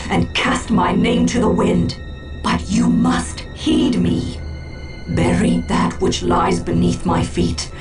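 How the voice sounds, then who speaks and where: A woman's voice: medium pitch, close to an everyday voice. A woman voicing a proud, solemn alien seer in a video game cutscene, speaking in grave, portentous and cryptic phrases. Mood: defiant, urgent.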